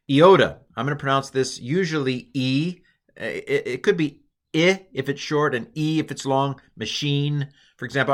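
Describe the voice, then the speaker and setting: A man's voice: mid-range voice, a bit bright. An American man teaching a recorded lesson in a casual, jokey, self-deprecating way, with lots of fillers. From United States. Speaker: Greek teacher.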